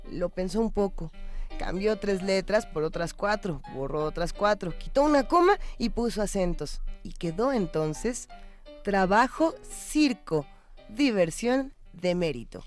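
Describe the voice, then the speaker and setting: A woman reading a story aloud expressively, with deliberate emphasis on key phrases. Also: medium pitch, expressive, soft background music.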